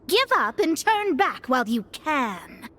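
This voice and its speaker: A woman's voice: high voice, sing-song, a little slow, sharp tone, exaggerated voice. A woman voicing a proud, easily flustered young astrologer mage in a video game, haughty and dramatic. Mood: ominous, solemn.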